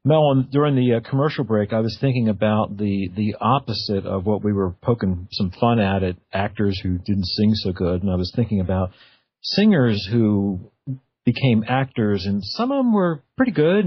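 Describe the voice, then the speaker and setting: Low, husky male radio host. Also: lively intonation, moderate pace, dry recording. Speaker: radio talk show host. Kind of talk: casual radio interview. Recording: dull, lo-fi radio audio.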